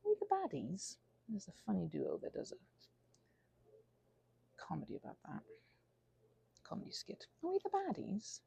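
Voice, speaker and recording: Woman, casual solo rambler, wandering and self-deprecating, swooping pitch, recorded close and dry. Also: huge pitch swings, moderate pace, gravelly, long pauses, mellow, slightly rough, no room sound. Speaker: audio creator rambling to listeners.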